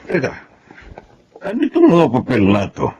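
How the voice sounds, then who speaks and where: A man's voice: medium pitch, wildly swooping pitch, moderate pace, a little mellow. An actor playing a stern, authoritative middle-aged father with a firm, commanding manner in a Filipino radio drama. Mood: brisk. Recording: dull audio with faint noise.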